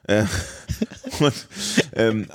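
A man's voice: fairly low pitch, sing-song, very slow. A man discussing a film casually as a podcast host, in colloquial and enthusiastic language.

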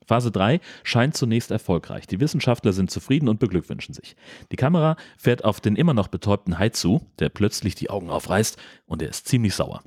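Male recap narrator, deep voice, wry scripted delivery, dry studio-like sound. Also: big pitch swings, fairly quick, a little mellow, dry recording.

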